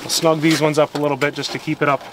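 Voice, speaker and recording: A young man giving a casual, hands-on how-to demonstration outdoors in the snow, pausing and restarting as he works. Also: on the high side. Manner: relaxed, hands-on, stops and starts while working. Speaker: gear maker demonstrating a product. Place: outdoors in snow beside a vehicle. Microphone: camera mic from a few steps away. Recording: distant outdoor mic with ambient noise.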